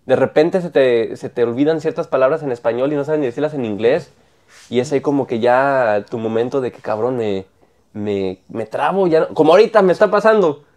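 A man's voice: mid-range voice, expressive, a little fast, a little mellow. A man in his thirties from Mexico with a Mexican accent, chatting on a studio podcast, often stumbling over words, repeating himself and laughing. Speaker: podcast co-host. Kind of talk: casual chat. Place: small studio with brick-wall set. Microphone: clip-on lapel mic.

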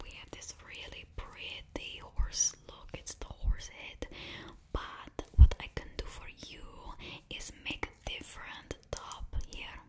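A young woman voicing a fussy female stylist to a super villain in a put-on Russian accent, whispering close to the mic in a coaxing, sales-like way and dropping articles. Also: natural voice. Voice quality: broken grammar, drops articles. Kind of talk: ASMR roleplay whispering. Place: small indoor studio before a backdrop. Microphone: close sensitive mic, face near camera.

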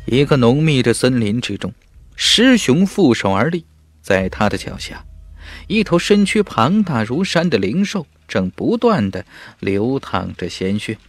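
A man's voice: medium pitch, big pitch swings, measured pace, dry recording, soft background music. A man narrating a fantasy novel as an audiobook in an emphatic, dramatic storytelling manner.

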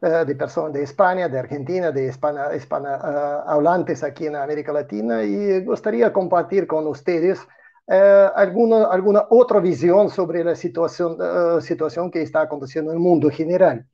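A man in his sixties with a Russian accent, a guest answering on a video call in Spanish that is not his native language, halting with many restarts. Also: on the high side, creaky, warm tone, dull audio. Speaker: guest, retired colonel. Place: home room.

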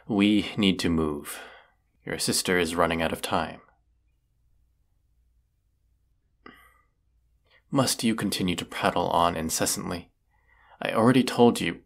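A man playing a brooding villainous wizard in a fantasy audio roleplay, stern and formal in his deliberate delivery. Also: low voice, gravelly. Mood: cold, menacing. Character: brooding villainous wizard of the woods, secretly lovelorn.

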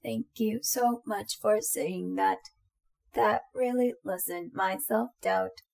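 Woman as a slow, creaky-voiced robot girl companion. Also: mid-range voice, darkish tone. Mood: self-conscious, touched. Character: affectionate, shy young female robot companion droid. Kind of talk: roleplay audio drama addressed to the listener.

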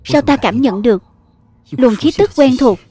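A woman voicing an inquisitive, principled young male martial cultivator in an expressive cartoon dub. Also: sits high, a little slow, husky, no echo, full character voice. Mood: curious. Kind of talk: scripted voice-over dub reading.

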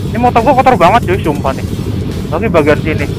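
Male rider, very high voice, colloquial Jakarta accent, playful slangy banter over helmet intercom, clear music behind. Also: expressive, fast talker, a crowd in the background. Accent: Indonesian, colloquial Jakarta. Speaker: motovlogger, riding companion. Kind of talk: casual slangy banter while riding. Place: outdoors on a moving motorcycle. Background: music, vehicle sound.

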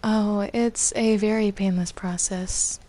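A woman's voice: mid-range voice, fairly even intonation, slow, mellow, natural voice. Woman voicing a smug possessing female spirit. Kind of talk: improvised Minecraft roleplay.

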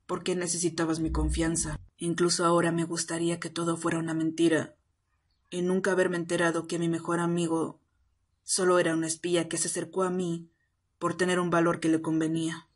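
Betrayed naive young man, voiced by a woman: slightly low, hurt, self-pitying, for roleplay audio drama. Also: monotone, fairly quick, close to an everyday voice. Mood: sad, disillusioned.

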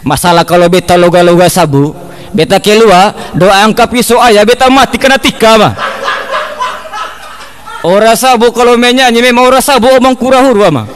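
A young man with an Eastern Indonesian accent doing an animated, talkative comic monologue, mimicking ways of speaking, close on the microphone. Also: high-pitched, moderate pace. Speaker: comic performer. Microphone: large condenser microphone on a stand, close.

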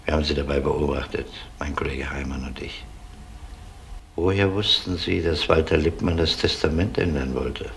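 An actor playing a composed, probing police detective in a German radio crime drama, questioning in a measured, matter-of-fact way. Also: male voice, a bass voice, steady tone, creaky, a little hiss or hum. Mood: calm, probing.

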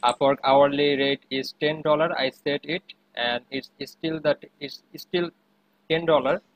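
A man's voice: fairly high pitch, fairly even intonation, moderate pace, no room sound, rough recording. Hesitant young Bangladeshi-accented man. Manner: hesitant, repeats phrases. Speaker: interviewee, web developer. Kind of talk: informal interview answers. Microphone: webcam video call.

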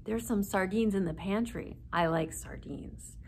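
A woman's voice: mid-range voice, animated, measured pace, creaky, darkish tone, a little hiss or hum. A woman voicing a confident, greedy little boy in a storybook, with emphatic delivery. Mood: hopeful.

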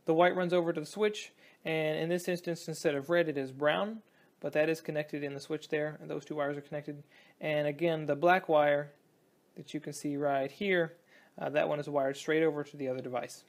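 A young man giving a casual hands-on demonstration as a hobbyist, talking in a halting, think-aloud way. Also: on the high side, fairly even intonation. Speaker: hobbyist presenter. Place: indoors at a tabletop.

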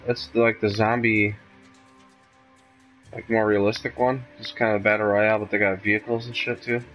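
A man's voice: on the low side, little pitch movement, normal speed, a bit bright, faint music behind, poor audio. A man chatting casually with a friend while hosting a video game stream. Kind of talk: casual chat while gaming.

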